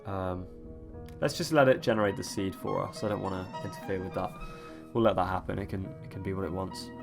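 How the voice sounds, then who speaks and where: A young man with a cold, sniffly and croaky, rambling through casual gameplay commentary as a let's-play host. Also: low-pitched, fairly even intonation, fairly quick, over music. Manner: rambling, many ums and restarts.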